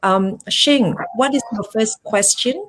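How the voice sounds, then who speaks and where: A woman's voice: on the high side, slow pace, a little hiss or hum, rough recording. A middle-aged woman with a Malaysian accent, chatting as a guest in a live interview over a video call, rambling with restarts and laughing easily.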